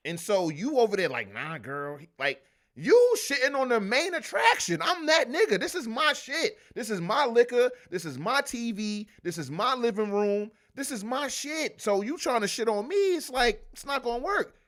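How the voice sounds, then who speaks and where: A man's voice: very high voice, moderate pace. A man hosting a podcast, telling stories in casual, profane banter. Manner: animated, profane storytelling. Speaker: podcast host.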